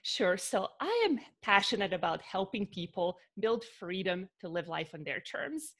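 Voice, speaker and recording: A woman's voice: medium pitch, very animated, normal speed, a bit bright. A woman from Bosnia with a Bosnian accent, a podcast guest talking through her ideas with many fillers and restarts. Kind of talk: casual interview talk.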